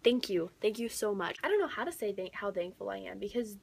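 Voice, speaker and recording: A young woman chatting casually as a book vlogger, excitable and gushing, with dramatic emphasis and whispery asides. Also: medium pitch, a little fast, vocal fry, breathy edge.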